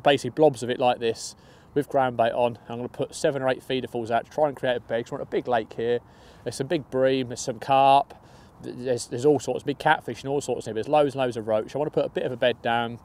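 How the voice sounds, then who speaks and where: A man's voice: medium pitch, fairly even intonation, fast, a little mellow. Man, young adult, casual upbeat explaining, outdoors by a lake, lapel mic. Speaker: match angler. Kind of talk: fishing tutorial.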